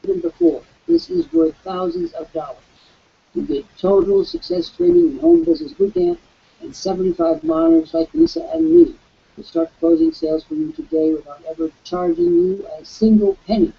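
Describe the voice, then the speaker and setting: A man hosting a live online webcast, with a croaky voice, giving an emphatic, preacherly sales pitch. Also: big pitch swings, moderate pace, lo-fi sound. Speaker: webcast host.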